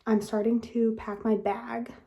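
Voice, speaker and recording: A woman's voice: a little high, level tone, unhurried, gravelly, dark tone. A young woman in her late teens chatting casually into her phone camera at the beach and at home, rambling with frequent "like". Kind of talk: casual vlog talk to camera. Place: outdoors at the beach, then at home.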